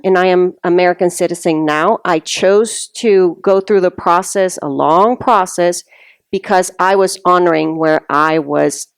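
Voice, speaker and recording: Middle-aged mexican-born woman, lowish voice, smooth clear tone, earnest panel answer. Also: no room sound, clean studio sound. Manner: earnest, reflective. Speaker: panelist sharing her story. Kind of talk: heartfelt public speaking.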